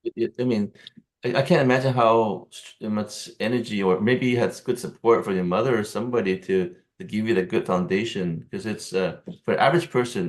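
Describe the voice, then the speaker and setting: A man hosting an interview, supportive and stumbling over words as he responds. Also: low-pitched. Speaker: interview host. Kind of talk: casual interview chat.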